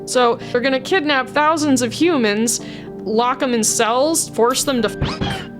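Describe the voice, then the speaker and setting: A chatty, blunt woman with a somewhat high voice, talking casually about her voice work and laughing often as she goes. Soft music runs faintly behind her. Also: measured pace. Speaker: voice mod creator. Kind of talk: casual commentary.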